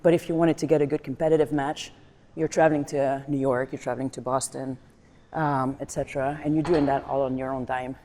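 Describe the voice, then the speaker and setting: A woman's voice: very deep voice, brisk. A woman from Colombia, a former rugby player, chatting casually during a gym workout, telling stories with lots of laughter. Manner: animated storytelling, laughs often. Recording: echoey gym with long reverb.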